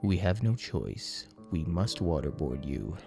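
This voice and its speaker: A man's voice: low-pitched, expressive, creaky, music in the background, heavily acted. A man voicing a stern, solemn, patriotic cartoon cat hero in an absurd storybook reading. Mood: grave regret. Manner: slow, solemn, melodramatic delivery. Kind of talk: absurd fan fiction audiobook reading.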